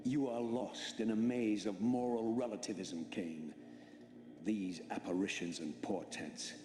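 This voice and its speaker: A man's voice: on the low side, steady tone, measured pace, lightly acted. A man playing a defiant, accusing undead wraith in a video game, speaking in a grave, formal, theatrical way. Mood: scornful, accusing. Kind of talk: dramatic video game cutscene acting. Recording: echoing hall, loud background noise.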